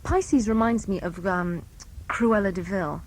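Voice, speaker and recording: A woman's voice: medium pitch, a little slow, slight background noise. A woman chatting casually as an interviewed actor, with a dry, playful way of talking.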